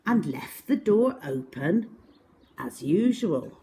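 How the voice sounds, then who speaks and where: A woman reading a picture book to young children as a storyteller, with dramatic pauses and emphasis, speaking directly to her listeners. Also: lowish voice, big pitch swings, dark tone.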